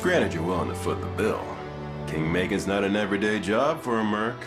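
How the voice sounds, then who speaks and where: A cocky video game mercenary, played by a man, with a fairly low voice and a folksy, sardonic drawl. He sounds like a sellsword who mocks his clients and haggles freely. Music plays clearly behind him. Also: a little fast, natural voice. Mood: cocky bargaining. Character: cocky, mocking male mercenary for hire.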